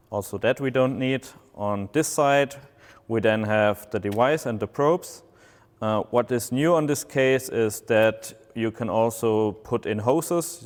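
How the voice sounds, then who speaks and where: A man with German as his first language, presenting a product demonstration slowly and haltingly, with many filler sounds. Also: lowish voice, clean tone, big-room sound.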